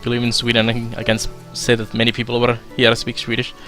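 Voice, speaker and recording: A man's voice: medium pitch, fast, soft background music. A young man from Finland with a Finnish accent, casually commenting on a strategy game he plays while answering viewers' chat. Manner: relaxed and chatty, many fillers, laughs often. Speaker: streamer. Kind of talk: let's play commentary while reading chat.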